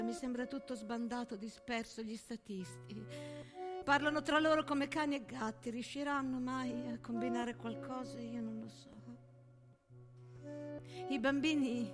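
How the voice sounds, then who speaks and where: A woman's voice: a little high, lightly acted. A woman playing an earnest, disoriented young wartime woman, declaiming plaintively and emphatically in a theatrical monologue. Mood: bewildered. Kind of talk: theatrical monologue set to music. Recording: stage monologue with music behind.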